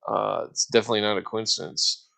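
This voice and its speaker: A man hosting a casual, rambling discussion, speaking haltingly and stammering over his words. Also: fairly low pitch, steady tone, creaky, sharp tone.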